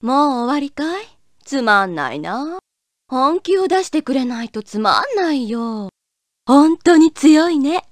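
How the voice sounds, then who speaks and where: A woman's voice: high-pitched, no room sound, clean studio sound, heavily acted. A woman voicing a cool, cocky young female android fighter in an anime fighting game, with a taunting, dismissive manner. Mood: mildly impressed.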